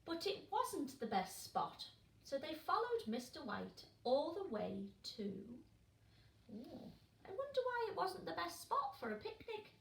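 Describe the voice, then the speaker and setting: Slow, lively-voiced teacher reading a story. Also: female voice, extremely high pitch, gravelly, recorded from a distance. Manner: gentle, asks children questions. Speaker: primary school teacher. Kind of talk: reading a picture book aloud to children. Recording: roomy sound from off the mic.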